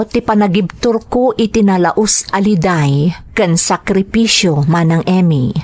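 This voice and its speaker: A woman plays a devoted mother in an audio drama. Her voice sits at a middle pitch, and she speaks earnestly, as one who has sacrificed much for family. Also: darkish tone, natural voice. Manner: earnest, heartfelt. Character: devoted, self-sacrificing mother recalling hardship abroad. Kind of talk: dramatized first-person narration.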